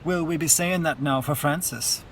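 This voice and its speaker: A man playing a gentle, easygoing, wry older relative at a wake, in radio play dialogue. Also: fairly high pitch, moderate pace, background noise, natural voice. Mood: reflective, gentle.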